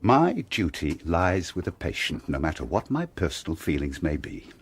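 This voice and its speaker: A bitter male doctor in a radio drama, with a lowish, husky voice. He speaks formally and insistently, in measured phrases that swing widely in pitch with anguish. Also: very animated, heavily acted. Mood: resolute.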